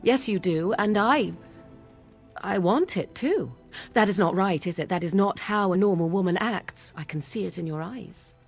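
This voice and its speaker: An actress playing a proud, sharp-tongued young witch in a video game, with haughty, dramatic, old-fashioned speech. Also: mid-range voice, slightly rough, faint music behind. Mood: anguished, pleading. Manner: haughty, dramatic, halting under emotion. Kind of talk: video game character acting.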